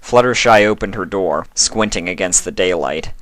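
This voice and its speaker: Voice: sits low, moderate pace, a bit bright, no room sound. A narrator reading a scripted fan fiction story, giving the characters their own voices.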